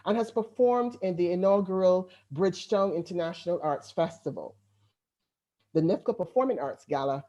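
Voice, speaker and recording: Low mellow Barbadian woman hosting. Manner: chatty, informal, introduces guests. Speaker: event host. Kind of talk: hosting an online poetry event.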